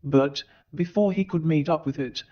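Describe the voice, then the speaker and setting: A man giving theatrical scripted narration as a storyteller, in a deliberate, mock-formal manner, on a muffled, noisy recording. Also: a little high, over music.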